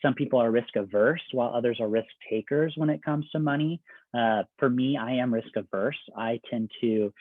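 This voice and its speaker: A man working as an admissions counselor, giving a conversational, step-by-step presentation with everyday examples. His voice is getting a little raspy. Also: mid-range voice, normal speed, no echo. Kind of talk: informational presentation. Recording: dry, phone-like video call audio.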